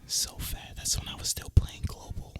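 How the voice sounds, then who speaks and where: A man whispers in a breathy, unvoiced voice, rambling casually and reflectively in a relaxed gaming ASMR style, close to the microphone. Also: normal speed, soft background music. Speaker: video creator and gamer.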